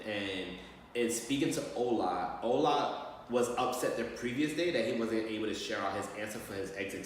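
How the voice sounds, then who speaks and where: A man speaking as a school staff member on a call to a parent, explaining things in a measured way. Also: mid-range voice. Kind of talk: training role-play of a parent phone call. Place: large echoey room, far from mic. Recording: very echoey hall, distant mic, faint noise.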